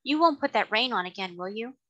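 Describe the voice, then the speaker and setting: A woman voicing a kind, gentle lady who speaks politely and earnestly in a storybook reading. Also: on the high side, lively intonation, a little fast, muffled recording. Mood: gently persuasive. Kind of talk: audiobook character reading.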